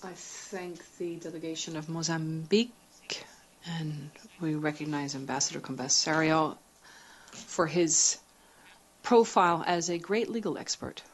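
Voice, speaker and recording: A woman's voice: low-pitched, a little slow, slight background noise. A woman chairing a formal meeting in a conference room, brief and courteous.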